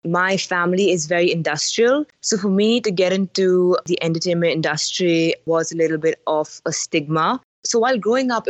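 A woman's voice: on the low side, no echo. A young woman from India, a singer-songwriter, chatting frankly and enthusiastically as an interview guest over a muffled line. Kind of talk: casual interview chat.

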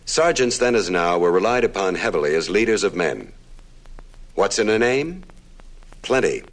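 A man with a low voice delivers scripted radio narration. His intonation moves in a lively way as he announces. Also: normal speed, poor audio.

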